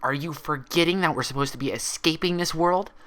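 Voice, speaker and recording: A man's voice: fairly high pitch, brisk, a bit bright, voice pushed up, close to an everyday voice. A man voicing the sneering mirror twin of a young pony mare, arguing in a defensive, scornful way in a story.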